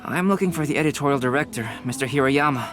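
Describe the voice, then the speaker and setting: A man voicing a calm, composed young male painter in a video game, speaking in a measured way. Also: mid-range voice, music in the background, close to an everyday voice. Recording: game audio with faint score.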